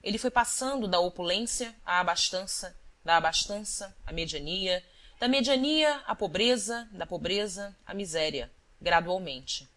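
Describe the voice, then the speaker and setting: A woman's voice: mid-range voice, expressive, normal speed, fairly bright tone. Woman narrating a literary audiobook.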